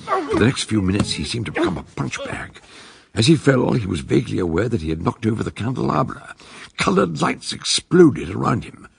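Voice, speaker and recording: An actor playing an eccentric older detective, narrating a radio drama in a gravelly voice with measured, theatrical storytelling. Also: male voice, mid-range voice, wildly swooping pitch, a little hiss or hum, heavily acted. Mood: dramatic narrating. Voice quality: very husky, gravelly. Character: eccentric older male detective recounting a case.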